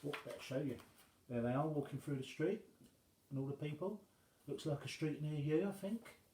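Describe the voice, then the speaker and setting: A man reading a rhyming children's story aloud as an expressive storyteller. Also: a little high, normal speed, reverberant, slight background noise, poor audio.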